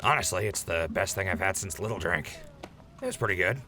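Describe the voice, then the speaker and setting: A man's voice: low-pitched, lively intonation, fairly quick, bright, forceful tone, a little hiss or hum. A man playing a dry, wry, unfussy crew member in a post-apocalyptic audio drama. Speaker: voice actor.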